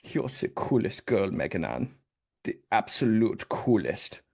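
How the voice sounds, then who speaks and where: A man's voice: fairly low pitch, sing-song, a little slow, vocal fry, a little mellow, full character voice. An actor playing an earnest, naive young Austrian man who is smitten and solemnly sincere in a romcom table read.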